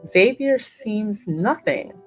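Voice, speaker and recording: Animated woman reading and reacting to a game. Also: mid-range voice, takes it slowly, soft background music. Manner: playful, colloquial reactions. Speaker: gaming video co-host reading game dialogue aloud. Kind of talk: game playthrough commentary, mixing read-aloud narration and casual chat.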